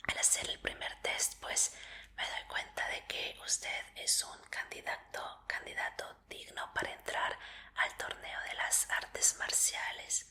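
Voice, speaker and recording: Woman, breathy whisper, extremely crisp bright hiss, ASMR examiner roleplay, formal polite phrasing, reassuring.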